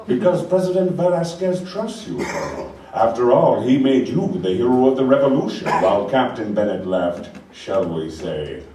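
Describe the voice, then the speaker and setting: Radio play villain: suave, menacing male dictator, voiced by a man, formal and deliberate, distant in an echoey room. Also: medium pitch, sing-song, mellow, lightly acted. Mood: smooth menace. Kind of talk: live stage radio play. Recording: echoey, distant live room, background noise.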